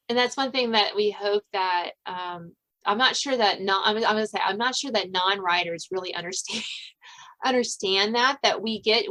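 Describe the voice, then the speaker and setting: A woman's voice: medium pitch, sharp tone, low-quality recording. Chatty woman hosting a casual interview. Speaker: podcast host and novelist.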